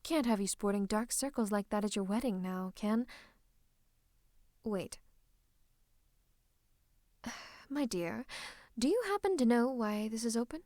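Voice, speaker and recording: Woman acting as villainous royal advisor, higher-pitched, silky, patronising, falsely comforting, breathy asides, close dry mic, fantasy ASMR roleplay. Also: animated, measured pace, long pauses, mellow, no echo. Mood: soothing, suspicious. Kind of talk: roleplay ASMR monologue to the listener. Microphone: close microphone.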